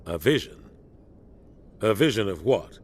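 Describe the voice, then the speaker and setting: An actor playing a grave, loyal middle-aged military captain in a video game, with a measured, authoritative delivery. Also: male voice, fairly low pitch, very animated, a little mellow, husky, heavily acted. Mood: urgent, grave. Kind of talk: video game dramatic dialogue.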